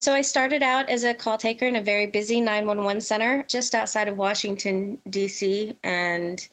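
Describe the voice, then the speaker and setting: A woman's voice: mid-range voice, steady tone, normal speed, a bit bright. A middle-aged woman answering questions as a guest in a conversational interview. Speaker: podcast guest, veteran emergency dispatch professional.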